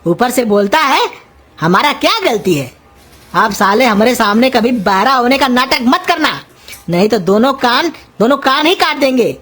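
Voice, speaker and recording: Woman voicing a high-pitched bossy, scolding old uncle. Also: animated, normal speed, a bit bright, husky. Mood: angry, threatening. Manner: scolding, threatening, colloquial. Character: gruff, bossy, quick-tempered old uncle. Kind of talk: Hindi cartoon comedy voice acting.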